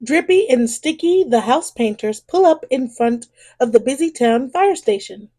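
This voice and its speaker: A woman reading a children's story aloud in an expressive way, drawing out key words for emphasis. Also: sits high. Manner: animated, drawn-out emphasis on exclamations and key phrases.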